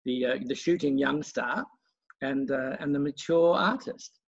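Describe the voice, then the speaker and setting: Male interviewer. Husky, creaky voice, encouraging and chatty, on a slightly muffled recording. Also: mid-range voice, unhurried. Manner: encouraging, effusive. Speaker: interviewer, host.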